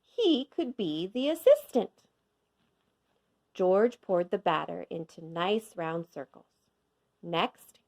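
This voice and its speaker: Slow, animated woman reading a storybook. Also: mid-range voice, creaky. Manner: expressive, measured storytelling for young children. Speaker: kindergarten teacher reading aloud. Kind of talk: scripted children's storybook narration.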